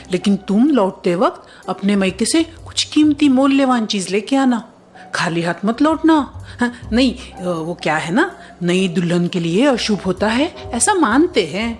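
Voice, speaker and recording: Greedy, gushing cartoon mother-in-law played by a woman. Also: fairly high pitch. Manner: wheedling, exclamatory, theatrical. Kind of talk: Hindi cartoon story acting.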